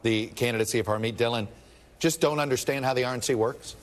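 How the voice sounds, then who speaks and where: A man's voice: medium pitch, fast, a little hiss or hum. A man hosting a news interview show, asking probing conversational questions. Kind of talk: political TV interview.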